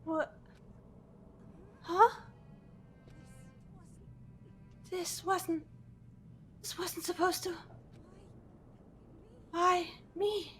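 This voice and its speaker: A woman's voice: very high voice, fairly even intonation, drawn-out words, long pauses, soft background music, heavily acted. A woman voicing a brash, indignant teenage girl student in a game, with a confrontational manner. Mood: shocked. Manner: confrontational, exclaiming. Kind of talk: fan voiced visual novel playthrough.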